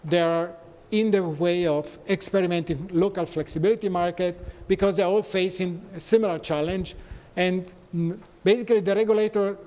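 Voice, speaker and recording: A man from Italy with an Italian accent, giving a conference talk in non-native phrasing with frequent pauses and fillers. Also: sits high, steady tone, mellow, long reverb tail. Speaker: conference speaker. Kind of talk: conference presentation. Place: conference hall.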